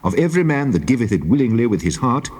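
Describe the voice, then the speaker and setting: A man's voice: medium pitch, big pitch swings, fast, darkish tone. A man playing a solemn, authoritative God in a dramatized scripture reading. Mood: solemn, commanding. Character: God of Israel, male, commanding, solemn lawgiver. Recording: clearly noisy old cassette tape.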